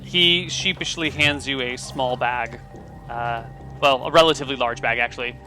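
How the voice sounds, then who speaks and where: A man narrating as game master in a tabletop game, relaxed with fillers, over a video call. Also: mid-range voice, moderate pace, soft background music.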